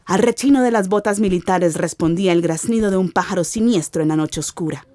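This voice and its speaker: A woman's voice: on the low side, brisk, mellow. A woman giving an ominous, theatrical narration of a literary radio drama.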